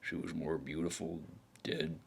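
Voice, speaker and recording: Man playing a very deep gravelly noir detective. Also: sing-song, heavy background noise, heavily acted. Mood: weary brooding. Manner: deliberate, brooding, world-weary. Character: weary, hard-boiled male noir detective, brooding and fatalistic. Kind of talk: scripted hard-boiled noir narration.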